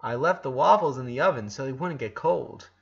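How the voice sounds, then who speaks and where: A man's voice: mid-range voice, expressive, a little fast, gravelly, a bit bright, breathy edge, lo-fi sound, full character voice. A man voicing a guarded but caring young girl in an audiobook story, with a terse, tentative manner. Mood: friendly, modest.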